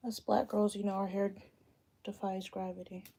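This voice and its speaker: Relaxed, gravelly thirty-something woman chatting. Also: medium pitch, moderate pace. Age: 30s. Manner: chatty and relaxed, thinking aloud while doing her hair. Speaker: realtor livestreaming a Q and A. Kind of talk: casual livestream chat. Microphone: phone camera.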